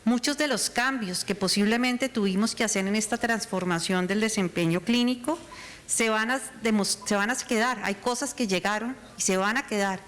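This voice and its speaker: A woman moderating a conference panel, speaking in a measured, formal way. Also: medium pitch, fairly bright tone. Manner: measured, formal, occasionally restarts a phrase.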